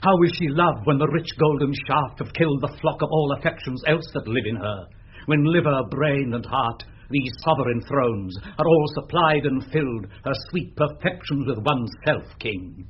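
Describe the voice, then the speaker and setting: Male actor as languishing British nobleman, lovesick, rhapsodic verse declamation, middle pitch, hissy old radio drama. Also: moderate pace, lightly acted. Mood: lovesick rapture. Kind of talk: 1970s BBC radio Shakespeare verse acting. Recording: dull, noisy vintage radio recording.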